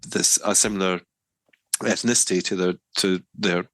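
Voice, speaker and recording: Lower-voiced man hedging thoughtfully. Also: fairly even intonation, normal speed, a bit bright, dry recording, low-quality recording. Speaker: podcast co-host. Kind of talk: casual chat.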